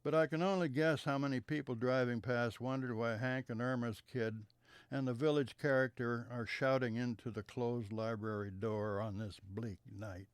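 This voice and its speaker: Male storyteller, mid-pitched, smooth tone, dry close mic, unhurried reading that falls soft at line ends. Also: fairly even intonation, no echo. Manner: measured, trailing off softer at the ends of sentences. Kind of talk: reading a memoir story aloud.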